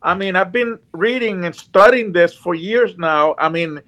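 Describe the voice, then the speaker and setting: A man's voice: sits high, unhurried, fairly bright tone, highs cut off. A man from Puerto Rico with a Puerto Rican accent, a guest talking earnestly over a video call and often restarting his sentences. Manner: earnest, rambling, frequent restarts. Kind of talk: casual chat.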